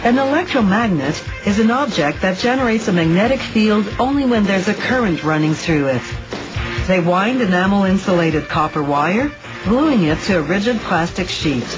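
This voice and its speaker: A woman's voice: mid-range voice, lively intonation, moderate pace, poor audio. Woman narrating a documentary over music. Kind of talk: scripted explanatory narration. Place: studio voice-over. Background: background music.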